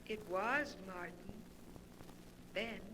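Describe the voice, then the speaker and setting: A piercingly bright, slow female voice on a hissy vintage radio recording. It is an evil ghost lover, seductive and commanding, drawing out each phrase in melodramatic 1940s horror style. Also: mid-range voice, rough recording. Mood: hypnotic, yearning.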